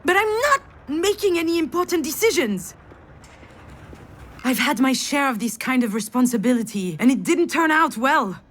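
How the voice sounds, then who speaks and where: An actress playing a bitter, overwhelmed daughter in a visual novel, with a sardonic, dramatic delivery. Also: female voice, high voice, expressive, moderate pace, a bit bright. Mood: exasperated. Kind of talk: visual novel voice acting with inner monologue.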